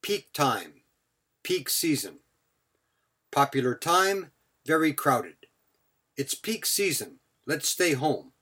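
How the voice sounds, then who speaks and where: A man's voice: a little high, big pitch swings, takes it slowly, pauses often, pushed and bright, husky. A man giving a scripted English vocabulary lesson as a teacher, speaking in short, clipped phrases followed by example sentences.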